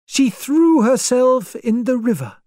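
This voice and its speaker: A woman's voice: on the high side, animated, slow, dark tone, no room sound, clean studio sound, pitched above the performer's own voice. A woman reading as a prim, stern older spinster. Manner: prim, unbending, judgmental.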